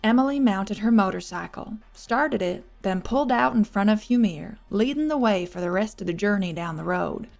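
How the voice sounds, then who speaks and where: A woman's voice: mid-range voice, gravelly. A woman narrating an audiobook, reading scripted fiction.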